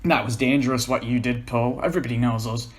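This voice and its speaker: A man's voice: mid-range voice, fairly even intonation, quick pace, lightly acted. A man voicing an irritable, blunt male friend with an exasperated manner in a dramatic story reading.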